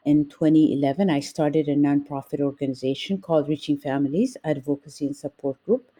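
A woman from Ethiopia, a nurse and family advocate, speaking in a measured, deliberate way as an interview guest. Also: deep voice, steady tone, mellow.

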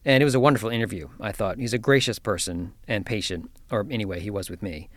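A man hosting a science podcast, telling a story in a measured, scripted way before interviewing his guest. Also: on the low side, no room sound. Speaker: podcast host. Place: office.

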